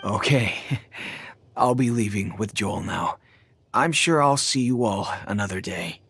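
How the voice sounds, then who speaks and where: An actor playing a gentle middle-aged father in a video game, halting, tender and earnest, with a husky voice. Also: lowish voice, no room sound, full character voice. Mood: grateful.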